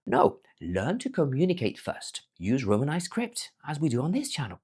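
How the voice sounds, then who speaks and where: Animated language teacher with a husky voice. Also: medium pitch, normal speed. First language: not Arabic, learned it as a foreign language. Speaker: language teacher presenting their own learning platform. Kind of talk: scripted explainer.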